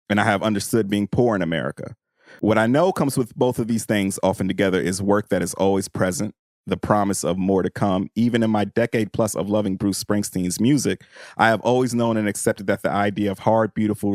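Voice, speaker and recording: Low-voiced man, podcast co-host. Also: darkish tone, no echo, studio quality. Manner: informal, peppered with slang and "you know". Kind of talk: casual book discussion and reading passages aloud.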